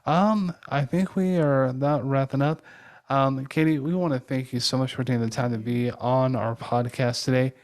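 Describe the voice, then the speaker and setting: Very deep-voiced woman podcast host. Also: fairly even intonation, normal speed, a little mellow. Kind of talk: casual podcast hosting.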